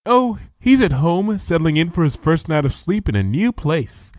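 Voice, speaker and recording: A man voicing a timid, gentle young female pony in a soft, meek voice for a storybook reading. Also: fairly high pitch, very animated, moderate pace, dry recording, pitched above the performer's own voice. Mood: shy, sweet.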